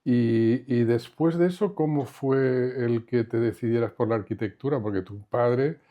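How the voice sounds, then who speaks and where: Mellow-voiced male interviewer. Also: mid-range voice, a little slow. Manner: prompting, echoes answers back. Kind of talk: conversational interview.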